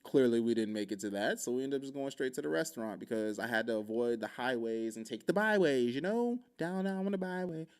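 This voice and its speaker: A man from Hawaii telling a story in a casual, joking podcast chat, animated and full of vocal sound effects. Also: mid-range voice, brisk, hardly any pauses, smooth. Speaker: podcast speaker.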